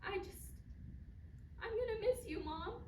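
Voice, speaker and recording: A high-pitched female voice from an actress plays an earnest, emotional daughter in a staged drama. She sounds hurt but caring, heard from across an echoey room with loud noise over the recording. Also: animated, unhurried, recorded from a distance. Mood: tearful, apologetic. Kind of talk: staged play reading. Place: live indoor staged reading, far from mic.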